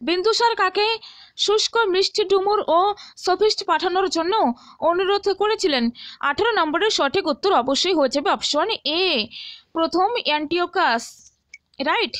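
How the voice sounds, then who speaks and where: Very high-pitched woman tutor. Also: moderate pace, fairly bright tone, no echo. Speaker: history exam tutor. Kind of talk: instructional walkthrough, reading out questions and answers.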